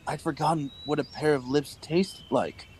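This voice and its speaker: A man's voice: a little high, steady tone, moderate pace, darkish tone, slight background noise, close to an everyday voice. An actor playing a nervous, weary man of thirty-nine in a radio play, hesitant and earnest. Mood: earnest, guarded. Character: nervous, weary thirty-nine-year-old rebel clerk.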